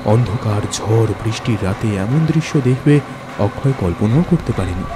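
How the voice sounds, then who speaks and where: A man's voice: on the low side, lively intonation, normal speed, background noise. A man narrating a scripted ghost story as a dramatic storyteller. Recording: music bed under narration.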